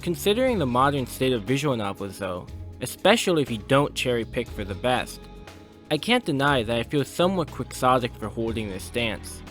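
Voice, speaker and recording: A man's voice: medium pitch, very animated, a little fast, fairly bright tone, soft background music. A man narrating a scripted video essay in an opinionated, literary manner with formal wording.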